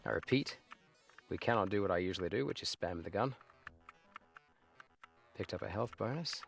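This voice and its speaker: A man's voice: deep voice, expressive, normal speed, vocal fry, a bit bright, soft background music. A man in his late thirties chatting casually while he plays a game live, reminiscing and trailing off into muttered asides. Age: 30s. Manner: nostalgic, rambling, with muttered asides. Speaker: livestreamer playing games. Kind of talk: casual live gameplay commentary.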